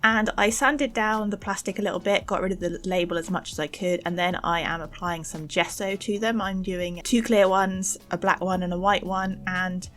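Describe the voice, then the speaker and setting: A young woman giving a casual, chatty craft voice-over for an art channel, informal and gently self-deprecating. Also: medium pitch, steady tone, moderate pace, hardly any pauses. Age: young adult. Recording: music behind the voice. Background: faint background music.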